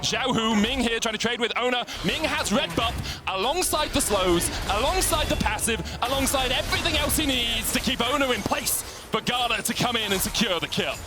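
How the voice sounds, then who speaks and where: High-pitched man calling esports play-by-play. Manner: rapid, building excitement on big plays. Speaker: play-by-play caster. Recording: loud game music behind.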